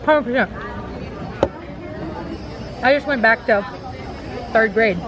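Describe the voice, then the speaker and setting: A woman's voice: fairly high pitch, slow. Chatty woman vlogging outdoors. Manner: chatty, candid, hesitant with ums. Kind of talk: casual vlog chat. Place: outdoor theme park. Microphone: handheld camera at arm's length. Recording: outdoor park with music and crowd noise. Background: crowd and park music.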